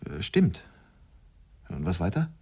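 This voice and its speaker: A man's voice: low voice, very animated, takes it slowly, darkish tone, breathy edge, heavily acted. An actor playing a troubled, skeptical expectant father in a German radio drama, speaking in a weighty, hesitant manner.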